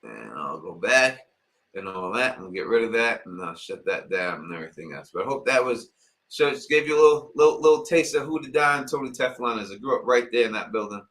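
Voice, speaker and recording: A man's voice: medium pitch, expressive, a little fast, a bit bright. A middle-aged man from Queens hosts a casual live-stream Q&A, rambling and leaning on filler phrases. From Queens, New York.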